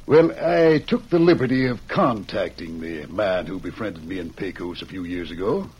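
An actor playing an earnest, firm but kind prison warden in the Old West, in a measured radio western style. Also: male voice, low voice, lively intonation, a little mellow, husky, full character voice. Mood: earnest, persuading. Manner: persuasive, measured, often addresses listener by name.

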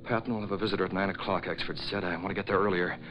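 An actor playing a resolute, shrewd gentleman vigilante in a 1940s radio drama, with an assured, confiding manner. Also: sits low, steady tone, racing, soft background music. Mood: determined. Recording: faintly noisy old radio recording.

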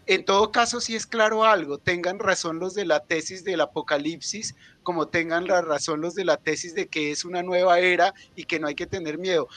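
High, bright-voiced Colombian man. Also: no echo. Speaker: guest author and psychologist. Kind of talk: explanatory interview answer.

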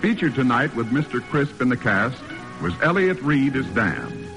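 A man's voice: medium pitch, very animated, normal speed, poor audio. A man announcing a radio program in formal 1940s broadcast style. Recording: old radio broadcast with music behind.

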